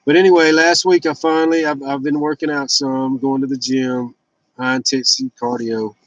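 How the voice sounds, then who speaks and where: A man's voice: medium pitch, little pitch movement, normal speed, fairly bright tone, no room sound, highs cut off. A man in his fifties talking casually and candidly in a rambling, blunt, confessional personal vlog. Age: 50s. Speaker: vlogger sharing fasting and fitness journey.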